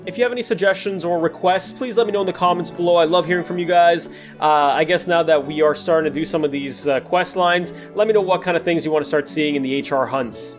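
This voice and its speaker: Male gaming host, slightly high voice, chatty and reflective casual commentary with hesitant phrasing, music clearly behind. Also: fast, few pauses. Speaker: host of a gameplay series. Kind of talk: casual post-recorded game commentary. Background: music under the voice.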